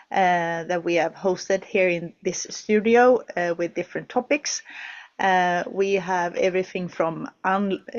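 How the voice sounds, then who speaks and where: A woman's voice: medium pitch, a little mellow, highs cut off. A woman hosting an online project session from a studio, talking hesitantly with many fillers and restarts. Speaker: webinar host.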